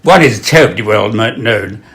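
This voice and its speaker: An older man reading literary pieces aloud and introducing them informally, with measured delivery and expressive emphasis. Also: on the low side. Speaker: reader of poetry and quotations.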